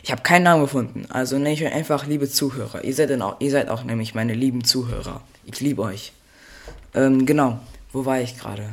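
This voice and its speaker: Teen guy chatting alone on his podcast, goofy and scattered, keeps correcting himself, normal pitch. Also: brisk. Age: teens. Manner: rambling, playful, loses his thread. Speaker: podcast host. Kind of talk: solo podcast chat.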